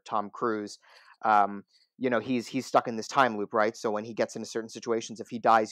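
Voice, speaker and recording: A man's voice: lowish voice, a bit bright, dry recording, clean studio sound. A man chatting casually as a guest on a podcast. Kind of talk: casual chat.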